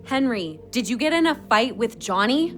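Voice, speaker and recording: Exasperated woman, high animated voice. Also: normal speed, faint music behind. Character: Rosie, exasperated, reproachful, forceful young woman. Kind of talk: audio drama acting.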